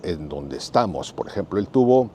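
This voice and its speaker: A Mexican man in his sixties, thinking aloud in a plain, unhurried, conversational monologue for his vlog. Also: deep voice, very animated, mellow, a little hiss or hum. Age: 60s. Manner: unhurried, thoughtful, plain-spoken and explanatory. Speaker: vlogger. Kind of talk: reflective casual monologue.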